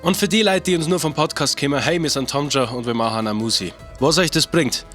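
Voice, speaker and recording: A man's voice: on the high side, fairly quick, a bit bright. A man with a Bavarian accent delivering an upbeat scripted promo for his band's music. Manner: upbeat, listing points. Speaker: band member promoting own music. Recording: faint music behind.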